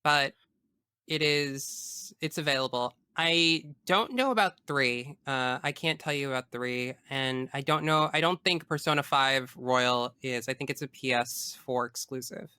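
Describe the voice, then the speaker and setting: A woman's voice: very deep voice, a little slow, sharp tone, clean tone, no room sound, clean studio sound. A young woman chatting casually and emphatically as a guest in an interview. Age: young adult. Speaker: podcast guest. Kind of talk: casual interview chat about video games.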